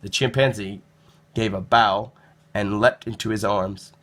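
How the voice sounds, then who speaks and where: A man narrating a children's storybook in an expressive read-aloud style. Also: fairly low pitch, a little slow.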